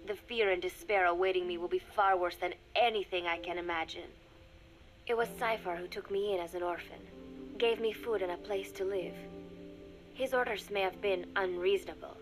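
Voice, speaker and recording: Actress as young woman spy doing a game audio diary, formal and measured, wistful, goes murmury, music behind her. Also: fairly low pitch, fairly even intonation, close to an everyday voice, rough recording. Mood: wistful, resolute. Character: young female secret agent confiding in a diary, wistful, resigned. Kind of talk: scripted dramatic monologue, recorded diary.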